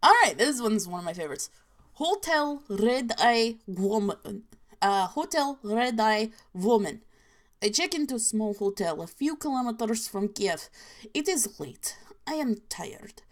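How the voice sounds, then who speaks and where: A woman voicing a grim, deadpan Soviet storyteller in a put-on mock Russian accent with clipped, broken grammar. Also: on the high side, measured pace. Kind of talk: reading creepy stories aloud.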